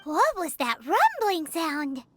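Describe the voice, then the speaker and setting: Casting: excitable little floating game companion, squeaky child voice, very animated, loud music underneath. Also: female voice, slow pace, exaggerated voice. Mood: puzzled. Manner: speaks of self in third person. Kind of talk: video game voice acting.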